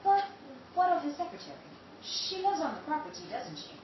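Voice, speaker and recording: A woman's voice: sits high, very animated, a little fast, very echoey, distant mic, dull audio, noisy background. An actress playing a scheming, defensive married woman who stammers evasively, in a 1930s-style radio drama. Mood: guarded.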